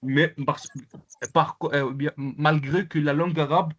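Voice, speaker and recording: A man from the Comoros whose first language is Arabic, teaching an online class in a halting way, with many fillers and repeated words. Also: fairly high pitch, steady tone. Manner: repetitive, halting, full of fillers. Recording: dull lo-fi online call audio.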